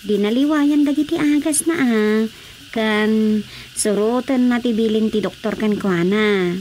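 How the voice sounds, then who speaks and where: Actress doing chatty ilocano neighbour lady, concerned, really high voice, radio drama, bit noisy. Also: unhurried, a little mellow, close to an everyday voice.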